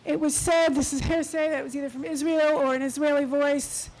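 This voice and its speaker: A woman's voice: sits high, steady tone, fairly quick, a little mellow, low-quality recording. A woman speaking from the floor at a public Q&A, conversational and slightly wry. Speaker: audience member. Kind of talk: public Q&A comment. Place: lecture hall.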